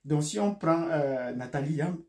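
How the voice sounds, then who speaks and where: A man's voice: fairly high pitch, animated, unhurried. Cameroonian-accented man in an echoey room. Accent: Cameroonian French. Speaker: video host and geopolitical commentator. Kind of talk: explanatory monologue to subscribers. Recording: echoey, roomy sound.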